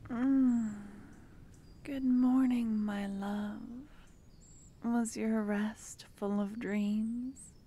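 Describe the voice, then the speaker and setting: Lady doing a slow mellow whispery necromancer gf, teasing and sweet. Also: fairly high pitch, steady tone. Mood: tender, teasing. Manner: soft, intimate, often whispered. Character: tender young female court necromancer, playful lover. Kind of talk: ASMR roleplay.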